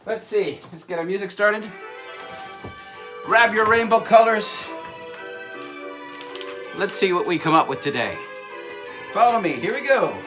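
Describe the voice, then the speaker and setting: A man's voice: high voice, lively intonation, a little slow, pauses often, pushed and bright, soft background music. A man hosting a children's storytime show, playful and encouraging, with a singsong way of talking. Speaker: children's storytime host and singer. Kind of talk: children's show presenting and singing.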